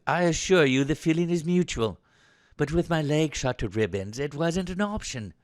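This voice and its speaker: An actor playing a posh, haughty young British soldier in an audio drama, with an upper-class English accent and a clipped, sarcastic way of talking. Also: male voice, a little high, lively intonation, moderate pace, dry recording, clean studio sound, close to an everyday voice.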